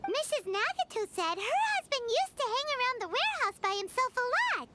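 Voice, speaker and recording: A woman voicing a tiny floating female companion sprite in a video game, chirpy and impatient, with a high, squeaky voice. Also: sing-song, a little fast, heavy background noise. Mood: chattering. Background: game music.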